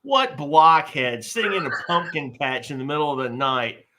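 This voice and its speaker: Bossy, bright-voiced little girl, acted at a read. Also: medium pitch, very animated, moderate pace. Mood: bossy, scolding. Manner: brash, scolding, emphatic. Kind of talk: live comedic table read of a cartoon.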